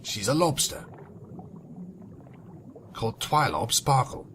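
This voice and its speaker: A man's voice: mid-range voice, sing-song, takes it slowly, fairly bright tone, slight background noise. A man reading fan fiction as a narrator, theatrical, with sarcastic, wry asides. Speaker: narrator and commentator.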